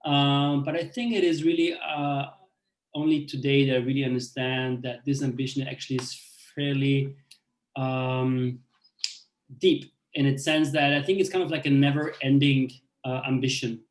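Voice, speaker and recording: A man's voice: mid-range voice, fairly even intonation. Roomy-sounding man telling his story. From Austria. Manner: halting, many restarts and fillers. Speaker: speaker on a panel. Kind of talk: personal story in a talk. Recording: roomy echo.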